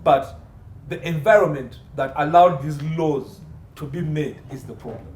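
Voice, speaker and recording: Male expert guest, slightly high voice, emphatic and argumentative with rhetorical questions, echoey room. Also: unhurried, a little mellow. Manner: emphatic, asks rhetorical questions, repeats phrases for stress. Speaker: legal consultant, interview guest. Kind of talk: explanatory interview discussion. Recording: echoey room with faint background noise.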